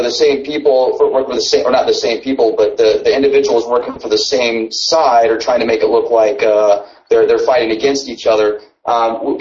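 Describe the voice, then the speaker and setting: A man hosting a call-in radio show, asking questions in a halting way with many fillers. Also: mid-range voice, a little mellow. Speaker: radio host. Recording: dull lo-fi radio audio.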